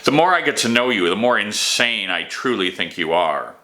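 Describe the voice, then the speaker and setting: A man voicing a stern, imperious wizard in a fantasy audiobook, scolding with sharp contempt. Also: lowish voice, animated, moderate pace. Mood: scornful, angry.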